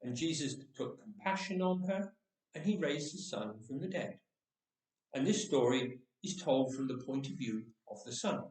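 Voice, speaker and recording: A man's voice: medium pitch, expressive, normal speed, darkish tone. A man who reads stories aloud, speaking as himself to introduce a reading. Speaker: story reader. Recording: echoey room, distant microphone.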